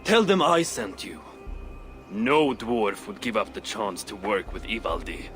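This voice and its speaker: A man's voice: fairly low pitch, animated, unhurried, a bit bright, faint music behind. A man playing a proud, boastful dwarf craftsman in a video game cutscene, blunt and self-important. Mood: confident, boastful.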